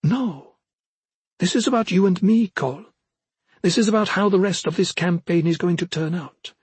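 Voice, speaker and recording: A proud, intense young female commander, voiced by a male narrator, pitched up from his natural register. The delivery is insistent and controlled, as audiobook dialogue with every demand pointedly made. The sound is close and dry. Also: moderate pace, a little mellow, husky, no echo. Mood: defiant.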